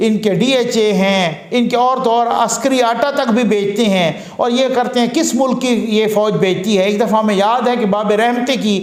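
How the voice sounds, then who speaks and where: A man's voice: high voice, moderate pace, few pauses, reverberant. A man from Pakistan delivering a political commentary monologue, earnest and rhetorical, building his points through repeated phrases. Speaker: news commentator.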